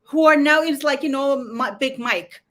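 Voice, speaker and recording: A woman hosting a casual live chat who speaks English with an accent and talks in a rambling, halting way with many fillers. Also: high-pitched, fairly bright tone. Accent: non-native English accent. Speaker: channel host.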